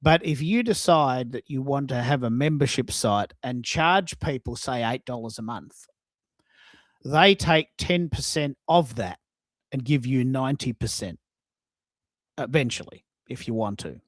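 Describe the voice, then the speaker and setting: A man's voice: mid-range voice, expressive, measured pace, no room sound. A man hosting a live coaching talk, repeating words for emphasis. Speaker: host and social media coach. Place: dry room, online live stream.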